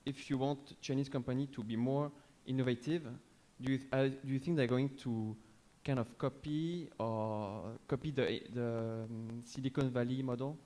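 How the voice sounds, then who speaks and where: A man's voice: medium pitch, moderate pace, mellow, rough recording. A man with a French accent asking a lecturer a long question from the audience, hesitating and rephrasing as he goes. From France. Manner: hesitant, circling back to rephrase. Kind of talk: question from the floor.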